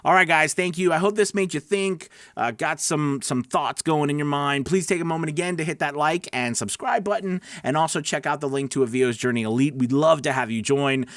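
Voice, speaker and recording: A man's voice: a little high, a little fast, hardly any pauses, fairly bright tone, no room sound, clean studio sound. A man talking casually as a host giving advice, conversational and emphatic, often checking in with short questions to the listener. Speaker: host of a voice-over advice channel, voice actor. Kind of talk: casual explanatory monologue to camera.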